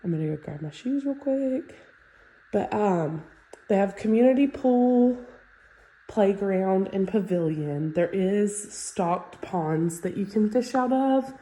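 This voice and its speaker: Congested woman giving a house tour. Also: mid-range voice. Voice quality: nasal, congested. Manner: relaxed and chatty, with fillers like "um" and "like". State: stuffy nose from sinus infection. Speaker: real estate agent. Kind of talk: casual home walkthrough tour.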